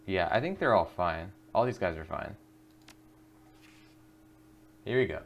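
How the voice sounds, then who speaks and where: A man's voice: deep voice, expressive, measured pace, gravelly, dull audio. A man hosting a gaming video, giving casual commentary and reading the game's text aloud. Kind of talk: casual game commentary with read-aloud narration.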